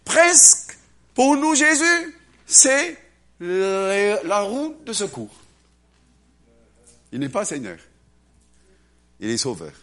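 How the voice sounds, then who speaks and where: Animated high-voiced male preacher. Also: slow, pauses often. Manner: acts out voices, swings between loud and hushed. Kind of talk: animated sermon.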